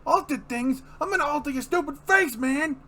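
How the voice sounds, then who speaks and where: A man's voice: very high-pitched, expressive, moderate pace, bright, forceful tone, voice pushed up, full character voice. A man voicing an impatient, hot-tempered crook who bullies his accomplice in a brusque, threatening way for a comic-book reading. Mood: furious.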